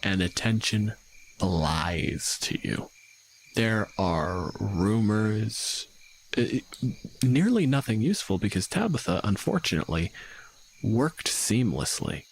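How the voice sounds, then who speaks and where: A man's voice: on the low side, sing-song, slow pace, a little hiss or hum, low-quality recording. A man working as game master, narrating a tabletop game casually with informal phrasing and some swearing.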